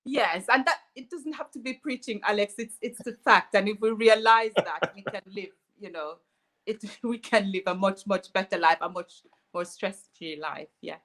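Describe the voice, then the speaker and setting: Female guest doctor, raised in Jamaica; mid-pitch, measured, reflective delivery. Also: bright, forceful tone. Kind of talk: casual interview talk.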